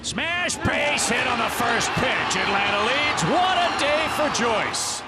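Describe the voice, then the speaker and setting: A man calls a baseball game play by play in a very high voice and builds to excited shouts as the ball leaves the park. The broadcast clip is loud with noise. He keeps a live commentary style throughout. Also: slow, a loud crowd. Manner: excited home-run calls. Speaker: play-by-play announcer.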